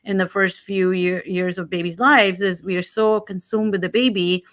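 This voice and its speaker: A woman's voice: mid-range voice, steady tone, moderate pace, a bit bright. A woman talking conversationally as a talk show guest, giving advice in an earnest, empathetic and emphatic way.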